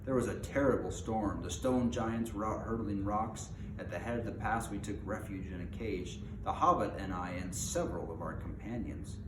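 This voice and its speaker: A man reading a storybook aloud as a courteous, shrewd old wizard with a polite, measured way of explaining. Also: fairly low pitch, natural voice. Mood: measured, persuasive. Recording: echoey room with clear background noise.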